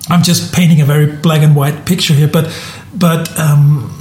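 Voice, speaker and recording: A man's voice: a little high, level tone, normal speed, a little mellow, reverberant. A man from Denmark talking casually on a podcast, often restarting and repeating words as he thinks. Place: room in southern Germany.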